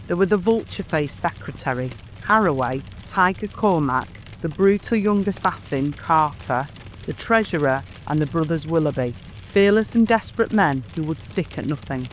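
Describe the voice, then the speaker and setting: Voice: medium pitch, a little hiss or hum, poor audio. A narrator reading a scripted audiobook story.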